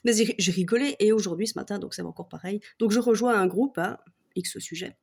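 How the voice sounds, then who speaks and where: A woman with a Belgian French accent, her voice sitting at an ordinary middle pitch. She rants to her viewers in a loose, mocking way, swearing freely and laughing often. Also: a little fast, vocal fry, a little mellow, breathy edge. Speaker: vlogger. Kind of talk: casual comic rant to camera.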